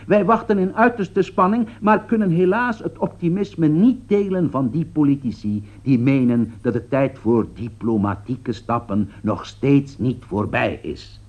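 An actor playing a solemn political commentator in a radio play, delivering formal, rhetorical commentary with foreboding gravity. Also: male voice, mid-range voice, unhurried, few pauses, darkish tone, natural voice. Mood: grave, foreboding. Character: grave male radio political commentator foretelling catastrophe. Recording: dull, lo-fi radio play recording.

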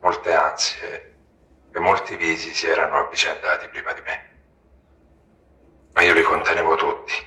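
A man's voice: rumbling low, flat delivery, normal speed, pauses often, pushed and bright. A man reciting poetic prose in a literary reading style. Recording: roomy, echoing recording.

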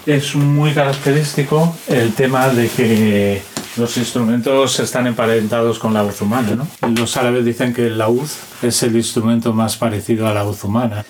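A man's voice: mid-range voice, steady tone, moderate pace. A man in his fifties with an accent from Spain explains his craft casually and demonstratively, adding short tag questions. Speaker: instrument maker being interviewed. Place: instrument workshop. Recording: noisy on-location interview recording.

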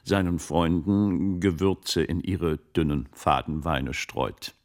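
An actor playing a shrewd, courteous gentleman of old Italy in a German radio play, with formal, measured delivery. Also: deep voice, steady tone. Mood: probing, sly.

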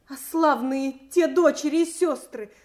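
High-voiced sorrowful princess. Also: female voice, unhurried, darkish tone. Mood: sorrowful, dignified. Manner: earnest, mournful theatrical delivery. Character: noble young captive princess, devoted sorrowful daughter. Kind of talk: classical stage acting for audio drama.

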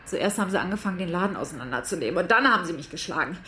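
A woman's voice: lowish voice, animated, very fast, sharp tone, slight background noise. An actress playing a naive, mouthy, aggrieved shop woman in a radio crime comedy, blunt in manner. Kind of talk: naturalistic German radio crime comedy acting.

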